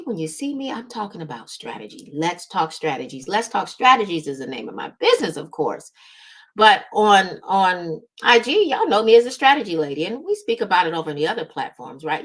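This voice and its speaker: Female business coach and host, lowish voice, emphatic instructional delivery that keeps checking the audience is following. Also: big pitch swings, fairly quick. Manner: emphatic, checks in with "right?" and "okay?". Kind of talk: motivational coaching talk to audience.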